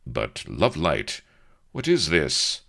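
A man's voice: low-pitched, expressive, takes it slowly, fairly bright tone, voice pushed up, full character voice. A man voicing a pompous, naive young sea captain who speaks in mock-archaic phrasing.

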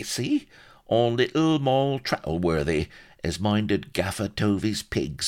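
A shifty old castaway with a West Country burr, pitched up high and swooping about. He rambles and wheedles like a storybook yokel, whimpering in thick dialect. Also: exaggerated voice. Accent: English West Country.

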